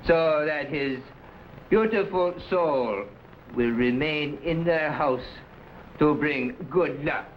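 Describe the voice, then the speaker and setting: Slow-talking, sly conspirator. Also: male voice, fairly high pitch. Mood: sly, sinister. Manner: halting, stilted broken English. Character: cautious, sly Chinese conspirator, quietly sinister, ceremonious. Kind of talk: vintage serial melodrama. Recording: dull, faintly noisy old broadcast.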